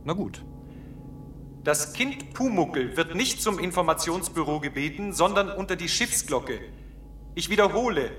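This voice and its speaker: An actor playing a brisk, bemused ship's official in a 1970s children's radio play. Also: male voice, fairly high pitch, expressive, a bit bright, slight background noise.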